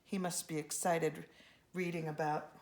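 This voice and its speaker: A woman reading a children's storybook aloud in an expressive, animated way, speaking to young listeners. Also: low-pitched, unhurried. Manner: expressive, with gasps and brief asides to listeners.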